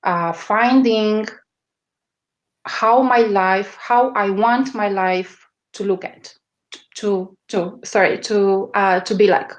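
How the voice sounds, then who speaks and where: A woman with a strong Romanian accent answering interview questions, often pausing on fillers as she searches for words. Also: medium pitch, fairly even intonation. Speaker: guest, media strategist.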